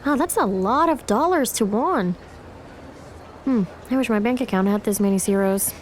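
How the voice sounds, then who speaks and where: Bubbly young sister played by an actress: very high, swooping voice, cheeky and gushing, romcom podcast, slight ambient noise. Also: female voice, expressive, normal speed, natural voice. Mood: gushing, starstruck. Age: young adult.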